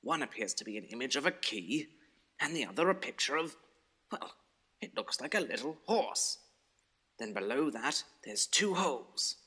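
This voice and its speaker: A man's voice: medium pitch, sing-song, normal speed, bright, forceful tone, slightly rough, exaggerated voice. A man playing a bumbling adventurer hero in an audio story, thinking aloud theatrically with quaint exclamations. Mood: pondering.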